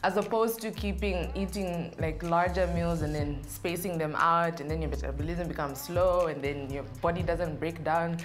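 Chatty woman with a low voice. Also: fairly even intonation, moderate pace, hardly any pauses, faint music behind. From Zambia. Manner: chatty and playful, reads questions aloud then answers. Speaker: interview guest. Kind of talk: casual Q&A interview. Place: indoor studio set.

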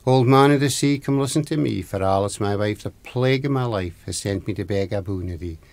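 A man's voice: fairly low pitch, fairly quick, gravelly, a little mellow, no room sound. A man voicing a henpecked, obedient fisherman in a folktale, chanting and beseeching with a Scottish accent. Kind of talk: oral folktale storytelling.

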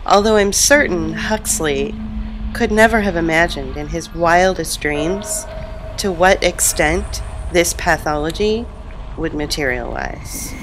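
Measured low-voiced woman reading, noisy. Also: expressive. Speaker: reader. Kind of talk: reading a written text aloud.

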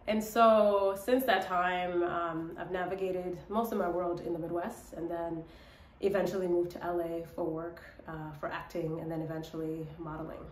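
A woman's voice: fairly low pitch, fairly even intonation, moderate pace, a little hiss or hum. A young woman talking reflectively and casually to camera in a personal vlog. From born in Ethiopia to South Sudanese parents, grew up in Minnesota, United States. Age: young adult. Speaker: vlogger, model and actress. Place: small indoor room by a window. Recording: echoey room.

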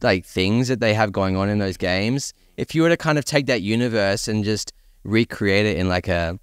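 A man's voice: lowish voice, normal speed, clear, resonant tone, no echo, studio quality. A man co-hosting a podcast, musing thoughtfully in casual panel chat. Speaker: podcast co-host.

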